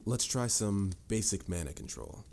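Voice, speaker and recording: A man's voice: fairly low pitch, sing-song, moderate pace, vocal fry, a little mellow, slight background noise. A man playing a stern, formal mage mentor with a dry, lecturing manner in a scripted fantasy audio roleplay. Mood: instructive. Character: stern, dryly exasperated adult male mage mentor.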